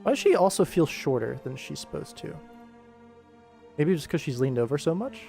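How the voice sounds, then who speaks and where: A man's voice: fairly high pitch, sing-song, moderate pace, gravelly, warm tone, faint music behind. A man casually commentating on his animation work stream, thinking aloud and chatting informally with viewers. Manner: informal, rambling to himself and viewers.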